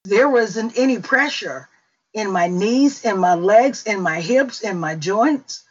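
A middle-aged woman with a Mississippi accent gives an unhurried spoken testimony on a prayer call, with fillers and long pauses. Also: medium pitch, lively intonation, slightly rough. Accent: Southern US, Mississippi. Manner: slow, unhurried storytelling with fillers. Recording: dull lo-fi call audio.

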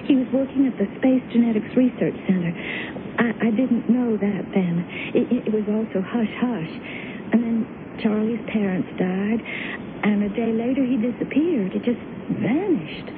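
A woman acts a devoted wife in a 1970s radio play. Her highish voice is anxious and pleading, stumbling over words as she goes. The sound is thin and phone-like, with clear rumbling noise. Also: moderate pace, natural voice. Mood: troubled, pleading. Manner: hesitant, stammering, pleading. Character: anxious, devoted young wife of a returned husband. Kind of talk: 1970s radio drama acting. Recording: noisy, thin, rumbling old radio broadcast.

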